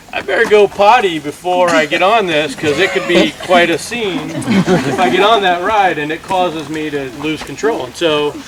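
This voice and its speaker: A middle-aged man telling an informal comic story to a group, acting out the dialogue in an animated way. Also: fairly high pitch. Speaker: campfire storyteller. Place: outdoors among a gathered crowd. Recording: noisy outdoor recording with audience chatter. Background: audience sounds, outdoor ambience.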